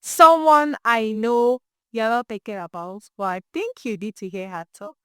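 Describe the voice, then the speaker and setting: A Nigerian woman presenting as a podcast host, introducing an interview in an expressive, emphatic way. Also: a little high, normal speed, smooth, no echo, studio quality. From Imo State, Nigeria.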